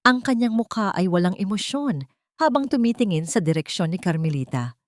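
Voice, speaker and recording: A woman's voice: medium pitch, lively intonation, a little fast, no echo, studio quality. A woman reading a dramatic story as a scripted audio drama narration.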